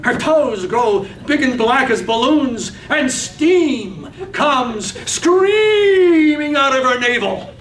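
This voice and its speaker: A man's voice: expressive, slow pace, few pauses. A man narrating a poetic radio drama in a dramatic reading. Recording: roomy, distant microphone, faint rumbly noise.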